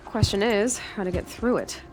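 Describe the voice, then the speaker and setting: A woman voicing a young huntress in a video game, speaking tersely and plainly. She talks in short, direct lines at a middle pitch, over loud game music. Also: normal speed, close to an everyday voice, low-quality recording. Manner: terse, direct, self-reliant. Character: young woman hunter, blunt and determined.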